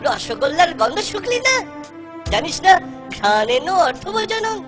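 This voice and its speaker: A man's voice: very high-pitched, big pitch swings, normal speed. A man voicing a stingy, scolding, middle-aged village sweet-seller in a comic cartoon. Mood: scornful. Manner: scolding, dismissive, mocking. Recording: music behind the voice.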